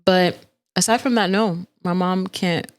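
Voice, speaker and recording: A woman's voice: fairly low pitch, steady tone, measured pace, dry recording. A woman in her twenties from Jamaica with a New York accent, chatting casually as a singer guest in an interview. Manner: easygoing, laughing, chatty storyteller.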